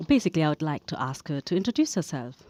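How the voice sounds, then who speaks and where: Woman, about forty, from Germany, conference panelist; lowish voice, slow and careful with a few hesitations. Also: big pitch swings, darkish tone. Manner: slow and deliberate, with some hesitations. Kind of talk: conference speech. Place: conference hall.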